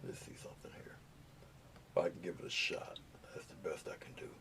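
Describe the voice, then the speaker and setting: A soft-spoken man with a low voice that does not project, talking casually to his live viewers as he paints at home. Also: a little fast, in a whisper. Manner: soft-spoken, mumbling asides while working. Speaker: painting livestream host. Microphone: camera's built-in mic, not the lapel mic. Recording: noisy, low-volume webcam audio.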